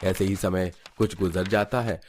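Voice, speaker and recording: A man's voice: low voice, steady tone, moderate pace, darkish tone, a little hiss or hum. A man reading scripted narration for a cartoon family story as its narrator.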